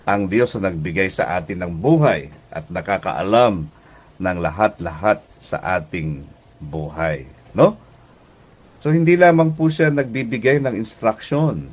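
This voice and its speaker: A Filipino man preaching a devotional radio message as pastor and host, deliberate and emphatic, often checking in with listeners. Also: low-pitched, breathy edge. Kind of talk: devotional radio sermon in conversational teaching tone.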